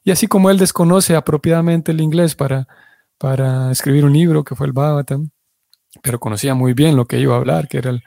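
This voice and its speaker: A man giving a religious class, explaining things in a measured way and often restarting his sentences as he searches for words. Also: fairly high pitch, vocal fry, dark tone, no echo, clean studio sound. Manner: explanatory, restarts and self-corrections. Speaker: devotional teacher.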